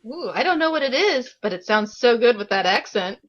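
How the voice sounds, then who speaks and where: A woman's voice: a little high, very animated, fairly quick, muffled recording. A woman playing a breezy, flirty, teasing woman in a comic audio drama, with a sassy delivery.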